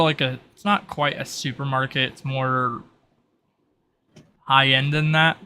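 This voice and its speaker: A man improvising narration as game master, explaining the scene in a halting, conversational way. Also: medium pitch, bright, forceful tone, a little hiss or hum. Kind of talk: improvised narration of a tabletop roleplay.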